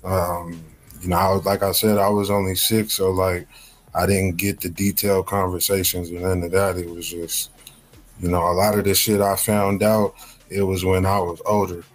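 Podcast guest, man in his 30s, very deep voice, easygoing street slang, webcam call audio. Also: little pitch movement, moderate pace, rough recording. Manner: laid-back slang-heavy storytelling. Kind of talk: casual interview.

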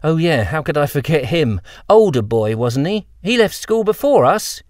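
A man's voice: fairly high pitch, big pitch swings, moderate pace, darkish tone, dry recording, clean studio sound, voice pushed up. A man voicing a poor, wide-eyed young boy, curious and a little naive.

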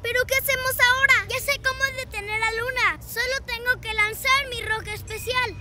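A woman voicing an eager young boy hero in a cartoon, earnest and excitable. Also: very high voice, moderate pace. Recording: loud music behind.

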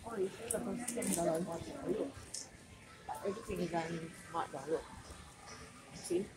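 Lowish-voiced mother, bossy and chatty. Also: normal speed, over loud music. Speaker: vlogger's mother. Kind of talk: casual chat while shopping.

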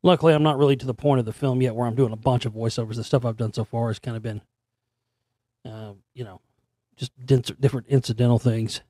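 A middle-aged man talks his way through a solo broadcast with a hoarse, worn-out voice that is only half back. His tone is dark and mellow, and the sound is dry and close, with no room around it. He rambles loosely, full of fillers and the odd swear word. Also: medium pitch, fairly even intonation, fast, no echo. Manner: rambling, conversational, frequent fillers and mild swearing. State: hoarse, voice recovering after straining it. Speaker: radio show host. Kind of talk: casual solo talk show monologue.

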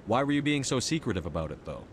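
A man's voice: mid-range voice, big pitch swings, quick pace. A man playing a direct, assured military commander in a video game. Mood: composed, probing. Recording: loud ambient background noise.

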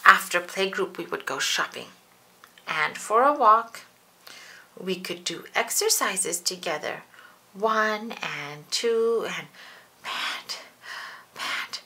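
Woman, children's read-aloud, expressive, bright, lively pitch. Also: slow, long pauses. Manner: animated, expressive. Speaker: storybook reader.

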